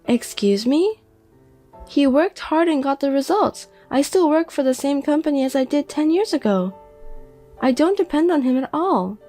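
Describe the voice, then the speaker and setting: A woman voicing a sharp-tongued middle-aged mother who mocks and gloats. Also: high voice, expressive, moderate pace, faint music behind, pitched above the performer's own voice. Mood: indignant. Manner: mocking, gloating.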